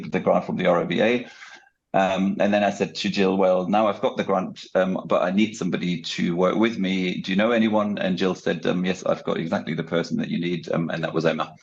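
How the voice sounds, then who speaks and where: A very low-voiced middle-aged man with a German accent and a gravelly creak. He talks as an academic guest in an interview, explaining in a halting, hesitant way. The audio is a little dull and muffled. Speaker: guest, academic researcher and book editor. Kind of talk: conversational podcast interview account.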